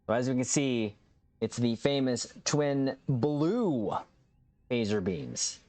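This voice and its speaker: A man in the middle of his pitch range walks viewers through a game build at an easy, casual pace. He sounds upbeat and chatty and keeps calling his audience captains, like a regular host speaking to his own channel. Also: takes it slowly, mellow, slight background noise.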